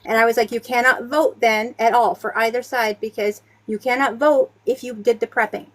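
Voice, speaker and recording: A woman with a fairly high voice questions two debaters on a live stream. She presses a technical grammar point and keeps talking over the others. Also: steady tone, moderate pace.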